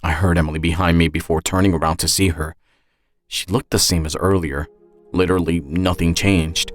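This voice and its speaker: Low-voiced man narrating suspense fiction. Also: steady tone, brisk, no echo, clean studio sound, soft background music. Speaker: writer and narrator of an audio story. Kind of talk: first-person scripted suspense narration.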